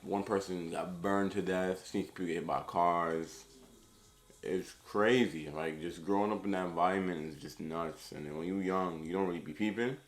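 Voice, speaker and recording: A man's voice: low-pitched, fairly even intonation, moderate pace. A young man from Queens, vlogging in a casual, rambling way that is full of "you know" and "like". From Queens, New York. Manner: laid-back, rambling, lots of "you know" and "like". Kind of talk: casual talk to camera.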